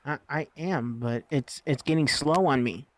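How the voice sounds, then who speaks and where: A man's voice: a little high, normal speed, dry recording. A man reacting casually to a cartoon as a guest riffer on a watch-along. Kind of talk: casual live reaction commentary.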